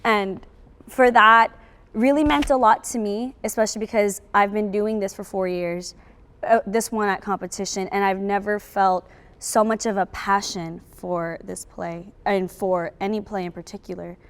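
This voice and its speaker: A woman's voice: medium pitch, fairly even intonation, moderate pace, clean tone. A young woman, a student actor, speaking earnestly in a heartfelt interview. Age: young adult. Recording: faint ambient noise.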